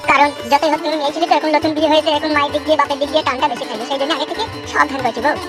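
Put-upon young newlywed son for village cartoon man acting, very quick, earnest, music bed. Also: very high voice, level tone. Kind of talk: comic cartoon dubbing.

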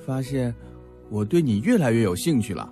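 An actor playing a playful, affectionate young male student who gently teases in an audio drama. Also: medium pitch, sing-song, moderate pace, soft background music. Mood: teasing, admiring. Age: young adult.

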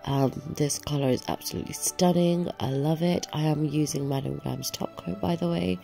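A woman's voice: low-pitched, fairly even intonation, normal speed, creaky, warm tone, faint music behind. A young woman with a cold and a nasal, sore-throated voice, gushing enthusiastically through a nail product voiceover. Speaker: nail vlogger.

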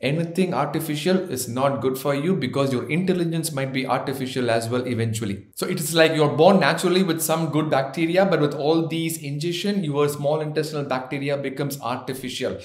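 An Indian man in his thirties gives health tips straight to camera, his voice sitting a little high for a man. He works through numbered points with dry little jokes along the way. The sound carries the echo of a bare office room. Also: fairly quick, hardly any pauses. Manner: gently joking, lists points one by one. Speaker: doctor, health channel host. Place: office with framed certificates, indoors. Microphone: seated, medium shot to camera.

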